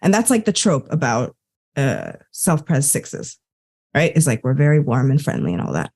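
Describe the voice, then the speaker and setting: A woman's voice: very deep voice, wildly swooping pitch, moderate pace, vocal fry, a little mellow, slightly rough. A woman guest on a podcast, an Enneagram coach, chatting casually and acting out her own quoted thoughts. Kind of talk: casual conversational storytelling.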